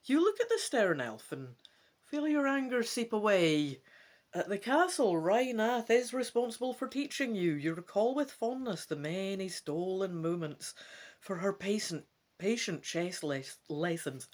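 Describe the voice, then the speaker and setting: A man's voice: high voice, sing-song, measured pace. A man giving a dramatic narration as he plays through a fantasy gamebook.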